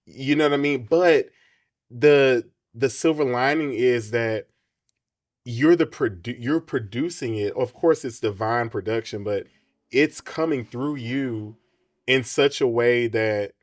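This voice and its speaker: A man hosting a relaxed interview, sounding supportive and enthusiastic. He speaks casually, with plenty of everyday slang. His pitch and delivery stay close to an ordinary conversational voice. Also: unhurried. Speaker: interview host.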